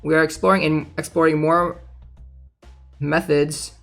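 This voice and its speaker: A man streaming casually about a game, reading aloud and thinking out loud in a hesitant way with plenty of filler words. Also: fairly high pitch, level tone, unhurried, creaky, soft background music. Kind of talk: casual livestream commentary, reading aloud and reacting.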